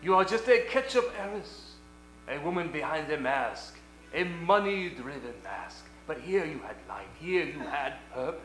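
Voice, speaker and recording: A man's voice: on the high side, very animated, normal speed, a bit bright. A man playing a suave, melodramatic lover in a comic radio play. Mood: impassioned. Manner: grand, seductive, melodramatic. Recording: echoey hall, faint music behind.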